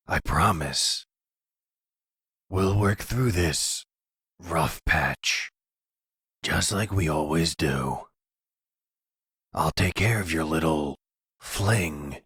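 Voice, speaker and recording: A man acts out a delusional, possessive crime boss in middle age for an ASMR roleplay. His voice is extremely deep and heavily husky, recorded close and dry. He speaks slowly, with condescending tenderness that carries menace. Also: fairly bright tone, no room sound, full character voice. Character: possessive, delusional middle-aged male mafia boss and husband.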